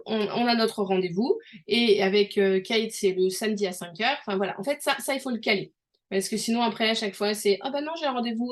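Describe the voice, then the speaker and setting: A middle-aged woman from France talking casually in an interview, chatty and full of restarts as she explains with examples. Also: medium pitch. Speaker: host.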